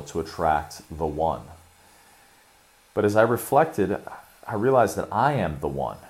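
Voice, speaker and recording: A young man from Chicago hosting a podcast, talking through a reflective monologue in an earnest, measured way with frequent fillers and restarts. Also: sits low, darkish tone. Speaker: podcast host.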